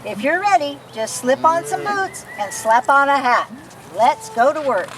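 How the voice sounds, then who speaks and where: A woman's voice: sits high, lively intonation, measured pace. A woman reading a children's picture book aloud expressively, as if to young listeners. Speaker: storybook reader. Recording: noisy recording, distant from the mic.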